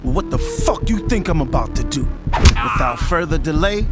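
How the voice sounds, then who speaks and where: A man's voice: fairly high pitch, expressive, normal speed, vocal fry. An American man playing a hot-tempered, swaggering record label boss who narrates his own story in brash slang for an audio drama. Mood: furious. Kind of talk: first-person audio drama narration with dialogue. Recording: loud music behind the voice.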